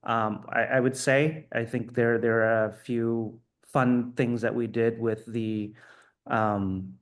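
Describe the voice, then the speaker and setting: A man's voice: mid-range voice, steady tone, slow pace. A man answering as a film director at a festival Q&A, thoughtful and full of ums and restarts.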